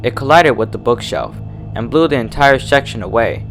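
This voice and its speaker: Animated male story narrator. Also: mid-range voice, normal speed, soft background music, low-quality recording. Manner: animated, dramatic. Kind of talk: dramatic scripted story narration.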